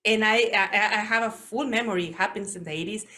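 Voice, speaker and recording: Mexican woman in her forties, bright voice, halting second-language English, interviewer. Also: medium pitch. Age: 40s. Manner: halting non-native English, searching for words. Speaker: host.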